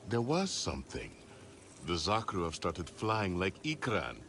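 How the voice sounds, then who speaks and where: An actor playing a jovial, soothing old alien cheesemaker in a video game, with a genial, playful manner. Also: male voice, deep voice, wildly swooping pitch, a little slow, a bit bright, a little hiss or hum, exaggerated voice. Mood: jolly. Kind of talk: video game cutscene acting.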